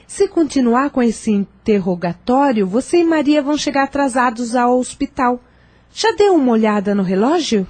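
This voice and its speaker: A woman playing a gentle middle-aged wife and mother in an audio drama, calming her husband. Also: on the high side, normal speed. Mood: soothing. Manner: soothing, coaxing. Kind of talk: radio drama acting.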